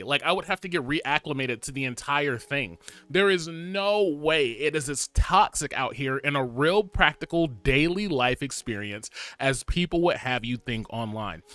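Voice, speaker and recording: A man in his twenties chatting casually to camera, rambling and animated, with lots of fillers and laughs. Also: a little high, no room sound. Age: 20s. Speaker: vlogger.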